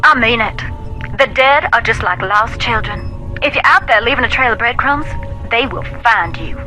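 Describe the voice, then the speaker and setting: Southern lady medium in a video game, intense n folksy, sharp higher voice, spooky storyteller delivery. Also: lively intonation, normal speed, soft background music, low-quality recording. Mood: grave. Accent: Southern American. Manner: folksy, dramatic, emphatic. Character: folksy, intense woman ghost-seer, knowing and haunted.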